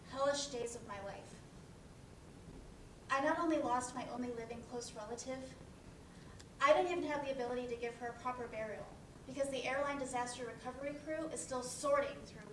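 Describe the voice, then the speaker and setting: A woman's voice: high voice, natural voice. A woman acting a grieving, desperate and determined young woman in a dramatic play reading. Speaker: actor in a stage play reading. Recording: echoey, noisy room, far from mic.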